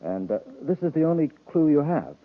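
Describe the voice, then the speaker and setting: An actor playing a nervous, shifty inventor in a 1950s radio serial, hesitant, evasive and overly polite. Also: male voice, fairly high pitch, big pitch swings, a little fast. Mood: uneasy, evasive. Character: shifty, nervous male inventor hiding his crime. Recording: muffled vintage radio recording.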